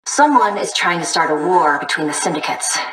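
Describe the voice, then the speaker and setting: Sassy, confident young game recruiter. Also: female voice, deep voice, animated, fairly quick, fairly bright tone, echoey room, recorded from a distance, low-quality recording. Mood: earnest, cool. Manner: sassy, cool, playful. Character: confident young woman, cheeky criminal artiste recruiter. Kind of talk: video game voice acting.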